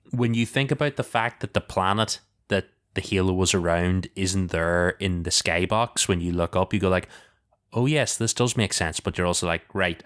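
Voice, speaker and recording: A man's voice: low voice, a bit bright. A man chatting casually as a podcast panelist, talking informally and thinking aloud.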